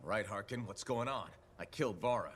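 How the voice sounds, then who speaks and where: An actor playing a no-nonsense mercenary hero in a video game, dry, blunt and skeptical. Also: male voice, on the low side, lively intonation, a little fast, a bit bright, a little hiss or hum. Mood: impatient. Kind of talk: video game cutscene acting.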